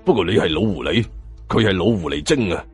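Man doing a low, dry, cool-headed Cantonese bodyguard. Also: lively intonation, fast, slightly rough, faint music behind. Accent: Hong Kong Cantonese.

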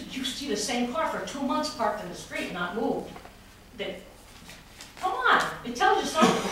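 A woman's voice: fairly high pitch, fairly bright tone. Woman complaining to city council, lots of restarts, far off in a big echoey room with noise. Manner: earnest complaint with many restarts, growing more heated. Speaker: resident speaking at public comment. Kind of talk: public comment at a council meeting. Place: large wood-paneled council chamber. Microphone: podium mic recorded by a far camera. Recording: echoey chamber from far off, noisy.

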